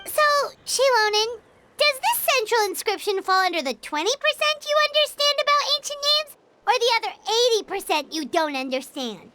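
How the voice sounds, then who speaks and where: A woman voicing a tiny, childlike fairy companion in a video game, squeaky, curious and cheeky. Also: very animated, moderate pace, bright, forceful tone, slight background noise, exaggerated voice. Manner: speaks of herself in third person, inquisitive.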